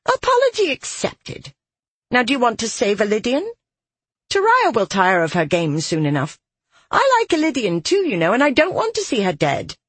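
A young, volatile female spirit acted by a woman narrator in a fantasy story. She sounds petulant and haughty, with a raised, animated voice. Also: on the high side, normal speed, hardly any pauses, breathy edge, no echo, pitched above the performer's own voice, exaggerated voice.

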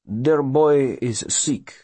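A man voicing an earnest immigrant passenger who speaks in halting, broken English. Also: medium pitch, dark tone, breathy edge, no echo. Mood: earnest, troubled.

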